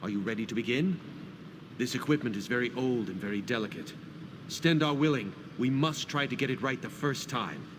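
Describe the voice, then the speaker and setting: An actor playing a hearty, devout warrior smith who gives formal, emphatic encouragement in a video game. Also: male voice, medium pitch, brisk, close to an everyday voice. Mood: solemn, welcoming. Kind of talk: video game character dialogue. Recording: clear background noise of a game scene.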